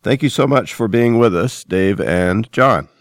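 A man with a low voice presents a podcast, reading scripted narration. The sound is dry, with hardly any room around him. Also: animated, measured pace, dry recording. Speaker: podcast host.

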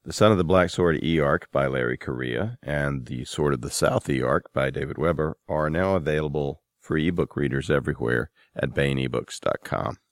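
Very deep-voiced man hosting a podcast. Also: moderate pace, hardly any pauses, no room sound. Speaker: podcast host. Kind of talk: conversational podcast announcing and interviewing.

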